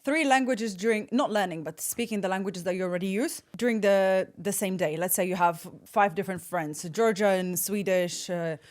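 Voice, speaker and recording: A woman's voice: medium pitch, moderate pace, hardly any pauses. Curious woman podcast host. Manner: curious, friendly questioning. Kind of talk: casual interview.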